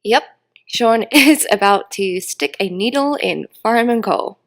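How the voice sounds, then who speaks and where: A woman's voice: a little high, animated, a little slow. A woman from England with an English accent, cheerfully narrating a sailing vlog and laughing often.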